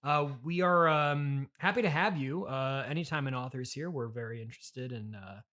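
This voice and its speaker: A man hosting a comedy interview podcast, speaking in a halting, polite, easily flustered way. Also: mid-range voice, pushed and bright.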